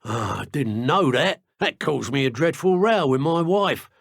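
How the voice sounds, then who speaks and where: A grumbling dark wizard for a fantasy game. He is husky and expressive, haughty and wry, recorded close and dry. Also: on the high side, unhurried, dry recording, exaggerated voice. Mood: disapproving. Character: grumbling, self-important British male dark wizard criminal. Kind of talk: video game character voice acting.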